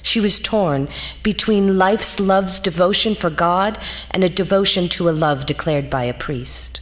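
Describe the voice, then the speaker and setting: A woman's voice: lowish voice, a little slow, natural voice. An actress playing a grave, dignified lady who solemnly tells a ghost story in a period audio drama.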